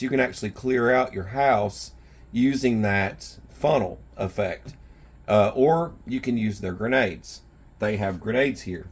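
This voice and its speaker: A man hosting a gear video, talking to viewers in an informal, rambling, folksy way with a rough, husky voice. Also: medium pitch. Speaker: survival gear video host. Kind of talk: casual product showcase talk to viewers. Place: indoors in a house.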